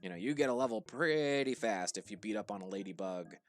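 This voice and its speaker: A man with a New Jersey and Pittsburgh accent, casually coaching viewers through a game on a livestream, relaxed and instructive. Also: lowish voice, brisk, soft background music. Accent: New Jersey and Pittsburgh blend. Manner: relaxed, instructive, with frequent restarts and fillers. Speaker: streamer guiding viewers. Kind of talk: casual live game commentary.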